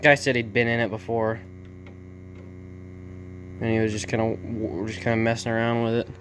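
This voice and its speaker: A man giving a casual, off-the-cuff narration as a hobbyist repairer, speaking informally and haltingly into a handheld camera in an indoor workshop. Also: fairly low pitch, level tone, background noise. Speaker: vintage TV repair hobbyist narrating his video.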